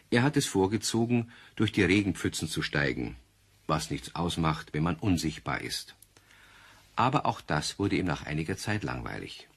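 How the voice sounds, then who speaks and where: A man narrating a children's radio play as a storyteller, talking conversationally to young listeners with gentle, wry amusement. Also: sits low, breathy edge, exaggerated voice.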